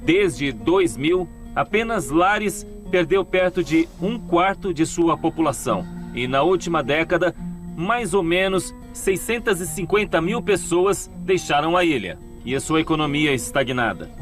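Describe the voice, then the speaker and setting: A man voicing a show host in a dubbed documentary, narrating and asking questions in a measured, grave, explanatory way. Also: a little high, expressive, a bit bright. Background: background music.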